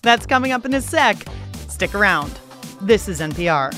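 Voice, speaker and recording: A woman's voice: medium pitch, animated, normal speed, music in the background. A woman hosting a radio show in a chatty, casual way, using slang and talking straight to listeners.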